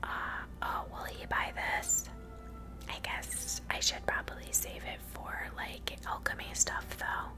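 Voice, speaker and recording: Girl whispering asmr let's play, breathy, close mic, casually thinking out loud. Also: a little slow. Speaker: gamer host. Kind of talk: ASMR whispered gameplay commentary.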